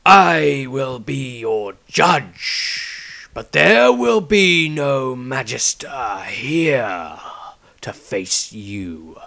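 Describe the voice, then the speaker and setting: A man voicing a stern old grey-haired wizard patriarch in a fantasy audiobook, speaking in a slow, deliberate way. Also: medium pitch, lively intonation, vocal fry, sharp tone, exaggerated voice. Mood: stern, measured. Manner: slow, measured, drawn-out delivery.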